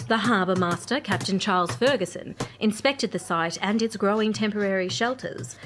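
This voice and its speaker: A woman narrates historical events in a clear, scripted way at a middle pitch. Faint music runs beneath her voice. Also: moderate pace. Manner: clear, listing, informative.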